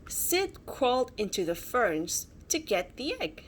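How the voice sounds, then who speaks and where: A woman narrating a children's bedtime story as a storybook reader, in an animated style. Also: on the high side, slow pace, slight background noise.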